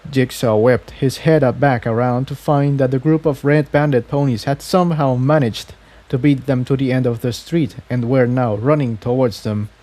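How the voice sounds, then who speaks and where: A man's voice: mid-range voice, fairly even intonation, moderate pace, mellow. A man narrating a scripted story aloud, occasionally stumbling and repeating phrases as he reads. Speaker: fan fiction reader.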